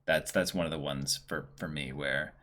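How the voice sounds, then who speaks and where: A man's voice: a bass voice, steady tone, normal speed, sharp tone. A man co-hosting a livestream, chatting casually and reading out viewer comments in an agreeable, appreciative way. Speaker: co-host of a livestream reading audience messages.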